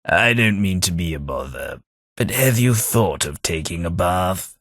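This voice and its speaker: A man's voice: lively intonation, fairly bright tone, no echo, full character voice. An actor voicing a refined, sardonic old male ghoul companion in a game, dry and cutting in manner. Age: older. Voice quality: low, dry, measured and cutting. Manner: refined, sardonic, easily offended.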